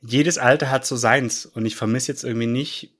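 A man in his thirties who officiates weddings, talking in a relaxed, rambling way on a podcast. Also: mid-range voice, moderate pace, husky. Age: 30s. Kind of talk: casual chat.

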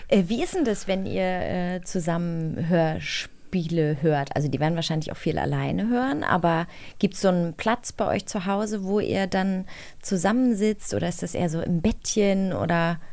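Woman, somewhat low pitch, interview host, relaxed conversational questions. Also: normal speed, creaky.

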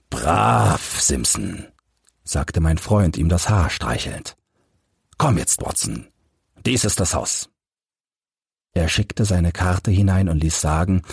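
A man narrating a classic crime story as an audiobook, in a measured storytelling manner. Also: low-pitched, mellow, slightly rough, no room sound, studio quality.

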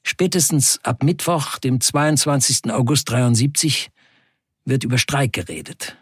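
A man playing a defiant factory worker in an audio drama, narrating in the first person with emphatic, measured delivery and a husky voice. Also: medium pitch, a bit bright, no echo, studio quality. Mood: grim, resolute. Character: defiant male Turkish factory worker recounting a wildcat strike.

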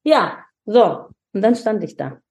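A woman's voice: mid-range voice, unhurried. A woman in her forties from Germany, a podcast guest telling her own story in an open, emotional way with many restarts. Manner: expressive, emotional, frequent restarts. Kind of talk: candid personal storytelling.

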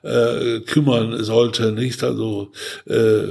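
An older man talking in an interview in a halting, hesitant way, often seeking agreement with small tag questions. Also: medium pitch, level tone, warm tone. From Ruhr region, Germany. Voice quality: gravelly, husky. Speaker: author, interview guest. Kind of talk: conversational interview.

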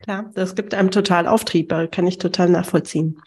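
A woman's voice: fairly low pitch, fairly even intonation, a little fast, darkish tone, breathy edge. Fluent woman podcast host. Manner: fluent, supportive, asks follow-up questions. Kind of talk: interviewing a guest.

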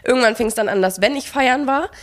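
A young woman co-hosting a podcast, telling a personal story in casual chat and acting out the quoted dialogue. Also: a little high. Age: young adult. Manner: fast, animated, acts out quoted dialogue, frequent fillers. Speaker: podcast co-host.

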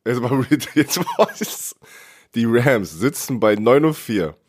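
Sick, congested male co-host, mid-pitched, stammering and animated. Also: unhurried, slightly rough. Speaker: podcast co-host. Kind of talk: casual sports chat.

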